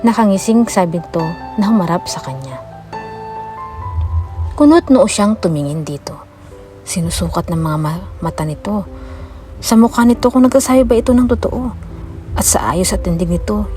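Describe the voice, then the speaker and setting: A woman reading a scripted story as an expressive audiobook narrator. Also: mid-range voice. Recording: music behind the voice. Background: background music.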